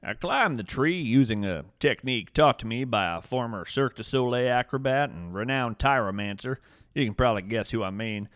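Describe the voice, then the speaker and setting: A man playing a whimsical, earnest veteran naturalist adventurer, narrating a scripted fiction podcast with theatrical, deliberate phrasing. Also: mid-range voice, animated, fairly bright tone, no room sound, clean studio sound, natural voice. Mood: wry, storytelling.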